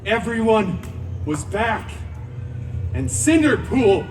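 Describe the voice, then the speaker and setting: A man's voice: sits high, big pitch swings, takes it slowly, slight background noise, exaggerated voice. A man playing a wisecracking, flamboyant antihero who narrates a parody fairy tale in a live stage show, with sarcastic asides. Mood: playful. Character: cheeky, theatrical, wisecracking male antihero storyteller. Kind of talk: comic children's story-time parody, stage narration. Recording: distant theme park show, music behind.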